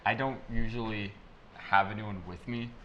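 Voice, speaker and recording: A man at a tabletop game, rambling and halting as he describes his character. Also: fairly low pitch, flat delivery, sharp tone, lo-fi sound, a little hiss or hum. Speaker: player.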